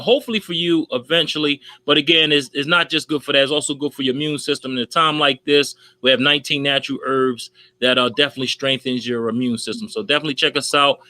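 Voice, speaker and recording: A man's voice: fairly high pitch, pushed and bright, dry recording. A man co-hosting a talk show, speaking informally like a casual salesman and repeating himself for emphasis. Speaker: co-host promoting his supplement.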